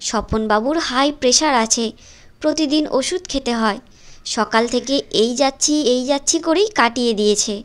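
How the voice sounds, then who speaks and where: A woman's voice: sits high, normal speed. A woman narrating a scripted cartoon story as the storyteller. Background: music.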